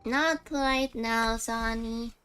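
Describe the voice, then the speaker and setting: A woman's voice: fairly high pitch, very slow, a bit bright, clean tone, faint music behind, rough recording. A woman livestreaming a game with casual chat, gushing and giggly as she reacts aloud. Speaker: streamer.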